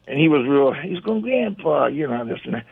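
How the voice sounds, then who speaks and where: This is an older man calling in to a radio show, his voice gruff and a touch high. He wanders from thought to thought in a folksy, easygoing ramble. The sound is narrow and tinny, like a telephone line. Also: very animated, normal speed. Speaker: caller. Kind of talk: casual phone-in chat.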